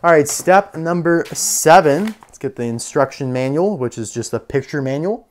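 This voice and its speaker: A man's voice: a little high, lively intonation, normal speed. A man giving casual, unscripted hands-on toy commentary, chatting and thinking aloud as he goes. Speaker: toy reviewer. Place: indoor room full of toy displays.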